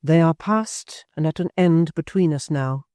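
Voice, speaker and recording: A man voicing a proud, bitter young woman in a storybook reading, speaking low and steady. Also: measured pace, clean tone, no echo, studio quality, close to an everyday voice. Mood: cold. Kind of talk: audiobook character voice.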